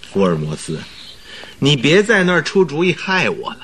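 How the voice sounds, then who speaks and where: A modest, good-humored doctor in a radio play. His fairly high voice leaps about in pitch, with slight noise behind it. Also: huge pitch swings, normal speed, fairly bright tone. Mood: amused protest. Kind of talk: Mandarin radio drama acting.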